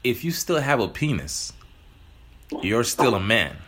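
A man's voice: medium pitch, big pitch swings, a little slow, noisy background. A male host in casual interview chat, who cuts in often with "hold on" and "wait.". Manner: interrupts, says "hold on" a lot.

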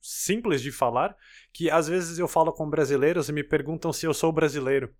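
A Brazilian man with a neutral Brazilian Portuguese accent, a podcast host asking questions in measured, searching phrases. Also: a little high. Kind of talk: interview questions.

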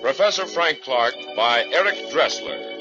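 An actor playing an ominous, theatrical announcer for a 1940s radio horror show. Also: male voice, medium pitch, lively intonation, unhurried, natural voice. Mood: formal. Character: ominous male announcer of a midnight murder show. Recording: dull old radio recording, music behind.

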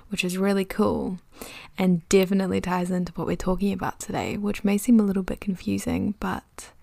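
A woman's voice: medium pitch, gravelly, a little mellow, no room sound. A young woman with a New Zealand accent hosting a podcast in a chatty, self-deprecating, confessional monologue. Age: young adult. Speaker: podcast host.

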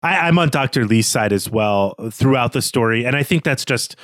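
A man speaks as a podcast co-host in a dry, close recording with little room sound. His pitch is mid-range, and he talks through fiction conversationally, with articulate, bookish phrasing. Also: expressive, normal speed, no echo. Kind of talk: conversational literary recap and discussion of a story.

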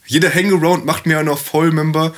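Lively jokey man in podcast chat. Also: on the high side, fast talker. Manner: lively, jokey, quotes rap lines. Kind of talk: casual chat.

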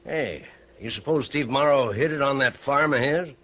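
An actor playing a shrewd, grudge-holding old ranch owner in a radio Western, with a folksy, needling American Western drawl. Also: male voice, medium pitch, moderate pace, pushed and bright. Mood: sly, needling. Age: older. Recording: muffled vintage radio broadcast.

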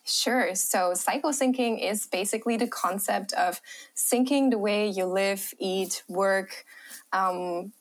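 A young woman with a light German accent speaks as a guest on a podcast, explaining her work as a cycle coach. She is friendly and relaxed, with a middle pitch for a woman. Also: slow. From Thailand and Germany. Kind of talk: podcast interview answers.

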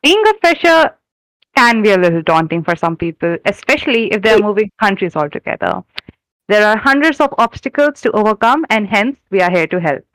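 A woman's voice: mid-range voice, very animated, fairly quick, dry recording, low-quality recording. A young woman co-hosting a student podcast, asking guests friendly questions. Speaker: podcast co-host.

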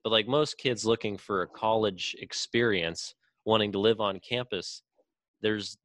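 Low-voiced young man, dry close sound. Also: a little slow, fairly bright tone, no room sound. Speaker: podcast co-host. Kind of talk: casual podcast debate.

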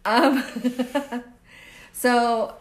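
A woman's voice: fairly high pitch, steady tone, very slow. A middle-aged woman hosting a podcast, chatting casually as she interviews a guest. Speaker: podcast host.

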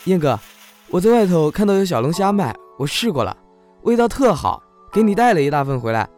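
A man's voice: high-pitched, sing-song, a little fast, a little mellow, soft background music. A man playing an eager, caring young assistant in an audio drama, solicitous and deferential. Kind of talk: Chinese audio drama acting.

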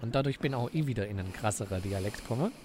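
A man from Swabia with a Swabian accent, a podcast guest telling stories in relaxed casual chat. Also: on the low side, very fast, a little hiss or hum. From Swabia, Germany.

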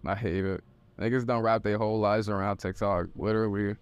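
A man's voice: lowish voice, steady tone, a little fast, clean tone. A young man from the US in a casual group conversation, talking bluntly and loosely with plenty of swearing. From United States. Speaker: member of a creative group.

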